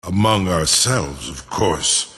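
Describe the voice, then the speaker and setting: An actor voicing an ancient, proud and cunning male dragon in a fantasy video game, speaking in a pompous, formal manner with a gravelly growl. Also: low voice, sharp tone, full character voice. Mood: grandly confiding. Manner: slow, pompous, formal, savoring words. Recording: long cavernous reverb.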